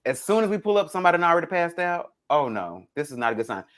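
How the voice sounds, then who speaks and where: A man's voice: on the high side, racing. A man chatting casually as a podcast co-host, telling stories in a dramatic way and acting out what was said. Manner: animated, dramatic retelling with acted-out quotes. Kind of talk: casual conversational storytelling.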